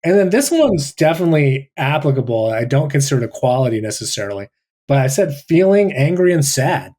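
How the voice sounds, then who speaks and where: Teacher guy talking slow and reflective, stops and restarts a lot, mid voice, on a video call. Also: medium pitch, big pitch swings, darkish tone. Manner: thoughtful, halting, many "like"s and restarts. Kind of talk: reflective personal sharing.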